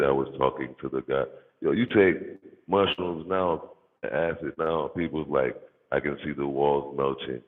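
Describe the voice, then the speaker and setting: American man, rock-bottom bassy pitch, gravelly fry, slangy casual livestream chat, repeats himself for emphasis, boomy reverb. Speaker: content creator, livestream host. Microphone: microphone with bass turned up. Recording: boomy hall-like reverb, muffled narrow-band sound.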